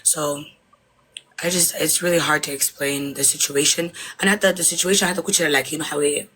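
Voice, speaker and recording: A woman's voice: low-pitched, fairly even intonation, brisk, fairly bright tone, a little hiss or hum, low-quality recording. A woman in her twenties, an interviewee from Somalia, talking hesitantly and switching between Somali and English mid-sentence. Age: 20s. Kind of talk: casual conversation.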